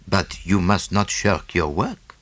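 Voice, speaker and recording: A man's voice: sits low, slow pace, fairly bright tone, no echo, voice pushed down, exaggerated voice. A man voicing a small, self-satisfied Belgian detective with a French-speaking Belgian accent and a didactic, theatrical manner in an audiobook. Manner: didactic, punctuated with "eh?" and French phrases.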